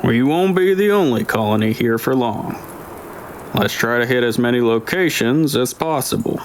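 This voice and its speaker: A man voicing an aged, stern and cautious crew leader giving deliberate, commanding instructions in an audiobook drama. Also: mid-range voice, lively intonation, slight background noise, lightly acted. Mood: firm, briefing.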